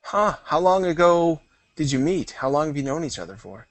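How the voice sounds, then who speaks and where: A man's voice: on the high side, very animated, normal speed, no echo. A man hosting a casual interview, asking upbeat, curious questions. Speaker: interview host.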